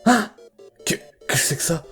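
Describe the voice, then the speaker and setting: A man playing a frightened, bitter, proud young male creature in a fan comic dub, speaking in a dramatic, halting, stammering way. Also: a bit bright, faint music behind, lightly acted. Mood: puzzled.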